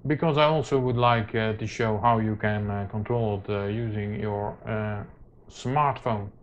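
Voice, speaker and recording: Halting man on noisy, dull audio. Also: lowish voice, fairly even intonation. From Netherlands. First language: Dutch. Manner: hesitant, halting delivery with very frequent filler sounds. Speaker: tech tutorial presenter. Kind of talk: unscripted how-to demonstration. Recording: dull lo-fi camera audio, clear noise.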